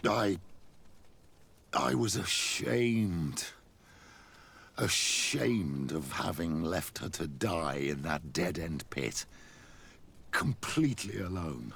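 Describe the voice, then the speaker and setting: A man's voice: deep voice, expressive, slow pace, pauses often, fairly bright tone, heavily acted. An actor playing a sly, ambitious old clergyman burdened by guilt, speaking formally and theatrically in a video game. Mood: remorseful. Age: older. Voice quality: gravelly, husky. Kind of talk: video game cutscene acting.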